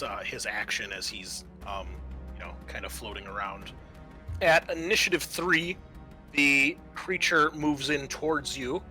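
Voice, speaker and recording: A man narrating as game master of a tabletop roleplaying game, explaining scenes and rulings with thoughtful pauses. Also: medium pitch, bright, forceful tone. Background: music.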